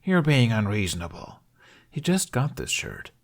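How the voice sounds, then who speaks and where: A man's voice: medium pitch, wildly swooping pitch, normal speed. A man voicing a mild, wry young boy hero in a fantasy audiobook, speaking casually. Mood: wry, teasing.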